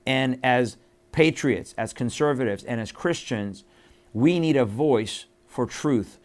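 Middle-aged host, from Iran, mid-pitched, emphatic delivery, lots of uh's and restarts. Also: male voice, takes it slowly. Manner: emphatic, repetitive, with many fillers. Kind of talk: earnest monologue to camera.

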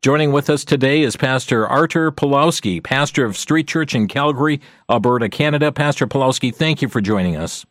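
A man hosting a radio talk show, earnest and measured as he addresses listeners directly. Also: medium pitch, a bit bright, no echo, studio quality.

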